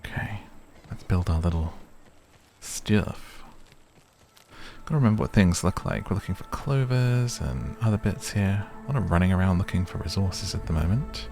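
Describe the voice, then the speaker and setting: A man giving relaxed ASMR gameplay commentary, musing gently and whimsically to the listener. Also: deep voice, normal speed, faint music behind. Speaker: gaming video host.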